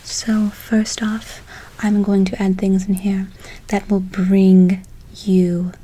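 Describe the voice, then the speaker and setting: Woman acting as a gentle ASMR witch, whispery, even intonation, affectionate, unhurried, repetitive, close mic. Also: mid-range voice, slight background noise, close to an everyday voice, low-quality recording. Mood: soothing, unhurried. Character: gentle, doting female witch casting good-luck spells. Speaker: ASMR role-play performer. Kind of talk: whispered ASMR role play. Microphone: close ASMR microphone.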